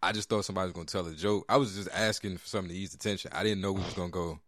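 Deep-voiced laid-back male podcast host. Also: steady tone, rapid-fire, fairly bright tone, dry recording, clean studio sound. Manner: laid-back, rambling with restarts. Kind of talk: casual chat.

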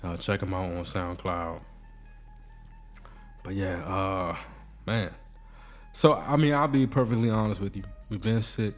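A man's voice: low voice, unhurried, pauses often, faint music behind. A man with a cold and a nasal voice hosting a casual podcast, joking and bantering. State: sick, nasal. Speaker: podcast host. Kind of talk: casual chat.

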